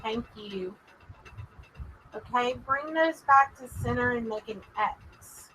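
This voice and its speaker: A woman's voice: a little high, takes it slowly, fairly bright tone, slight background noise, rough recording. A woman with a Texas accent hosting a casual livestream as a psychic medium, chatting and rambling to her viewers. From Texas, USA. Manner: chatty and rambling, drawling, addresses viewers as "y'all".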